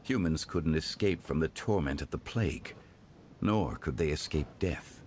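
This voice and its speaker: A man voicing a composed, learned gentleman in a video game, formal and measured with grave dignity. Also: a bass voice, a little hiss or hum. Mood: grave, narrating.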